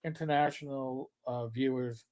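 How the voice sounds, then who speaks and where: A man's voice: medium pitch, steady tone, normal speed. A man with a New York accent hosting a live stream, chatting casually and rambling through his plugs. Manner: chatty, rambling, gets loud and brash when joking. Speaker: livestream host.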